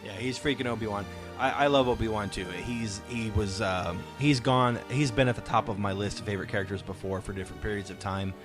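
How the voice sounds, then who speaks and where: A man with a lowish voice hosts a relaxed fan discussion. He explains his ideas with emphasis and likes to pose questions and answer them. Light music plays behind him. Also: a little fast, hardly any pauses. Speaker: podcast host.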